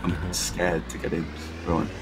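A man's voice: low voice, unhurried, a loud crowd. A man chatting casually as he plays a game with a friend, jokey and self-deprecating. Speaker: co-player in a gaming video. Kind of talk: casual gameplay chat.

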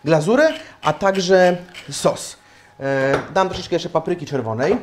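A man's voice: a little high, a little slow, slight background noise. A man in his thirties hosting a cooking show, explaining each step in a chatty way while he cooks in a small home kitchen. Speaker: cooking show host, chef. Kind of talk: casual cooking chat on a live stream. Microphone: lapel mic.

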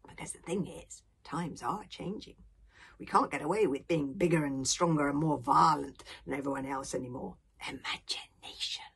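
A woman voicing an old Viking grandfather in a storybook, breathy and wheezy, unhurried and musing with high swoops. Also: a bit bright, exaggerated voice. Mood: musing, sage. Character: wheezy old grandfather, contented, pipe-smoking Viking soothsayer.

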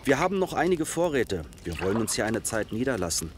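A man's voice: medium pitch, sing-song, a little fast, noisy background, natural voice. An actor playing an astonished, welcoming male survivor in a German zombie audio drama. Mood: friendly, inviting.

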